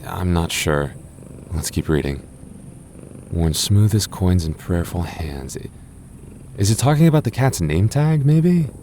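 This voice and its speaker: A man voicing a gentle, shy goth boyfriend in an ASMR roleplay, soft-spoken and stammering as he reassures his listener. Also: deep voice, expressive, a little slow, a little hiss or hum. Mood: puzzling. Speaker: voice actor.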